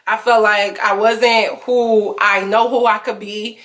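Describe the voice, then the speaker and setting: A young woman vlogging candid relationship advice in an earnest, confiding way, full of fillers, with a lower voice for a woman and emotion close to tears. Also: little pitch movement, takes it slowly, a bit bright.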